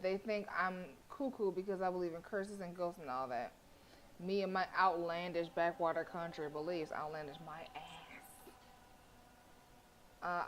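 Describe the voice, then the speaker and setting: A woman's voice: lowish voice, slight background noise, low-quality recording. A woman streamer reading a horror visual novel aloud, breaking off into joking, swearing asides. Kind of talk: reading game text aloud with asides to chat.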